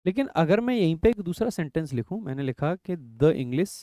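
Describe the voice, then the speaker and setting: A young adult man teaching an English grammar lesson in Hindi for exam preparation. He explains step by step, poses students' questions and answers them himself, and reads rules out slowly. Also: on the high side, very animated, warm tone, no echo, studio quality.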